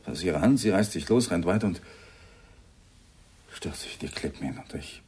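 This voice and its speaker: An actor playing a nervous, tipsy, guilt-ridden man in a German radio crime drama, halting and apologetic as he speaks. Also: sits low, lively intonation, a little mellow. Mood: agitated recounting. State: tipsy, agitated. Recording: clearly noisy radio drama recording.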